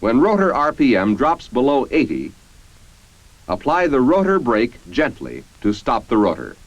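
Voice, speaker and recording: An American man reading scripted 1950s military training-film narration in a formal, measured, instructional manner. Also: mid-range voice, huge pitch swings, dry recording. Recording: dull, band-limited 1950s film soundtrack.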